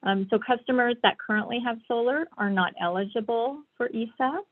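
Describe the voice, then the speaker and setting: A woman's voice: fairly high pitch, a little fast. A woman giving a slide presentation on a conference call over a thin, tinny phone line. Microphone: phone or online call.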